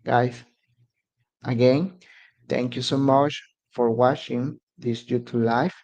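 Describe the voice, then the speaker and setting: A man's voice: mid-range voice, fairly even intonation, takes it slowly, darkish tone, lo-fi sound. A man from Mexico whose first language is Spanish, speaking English with a Mexican Spanish accent as he hosts a casual live stream and halts to search for words. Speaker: immigration advice channel host.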